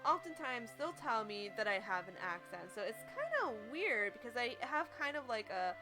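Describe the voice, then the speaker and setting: A woman's voice: on the high side, very animated, moderate pace, over music. A woman from Pennsylvania with a Pennsylvania accent, chatting casually while playing a game. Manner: chatty, rambling, light laughs. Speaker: game streamer. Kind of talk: casual playthrough commentary.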